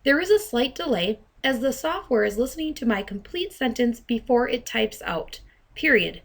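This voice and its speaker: A woman trainer narrating an instructional software demonstration, speaking deliberately and enunciating dictated commands. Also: a little high, lively intonation, creaky. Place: voice-over to a screen recording.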